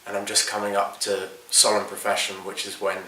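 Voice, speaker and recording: A man who is a Dominican friar, speaking in a calm, steady way. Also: lowish voice, a little fast, background noise. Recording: echoey room, distant mic.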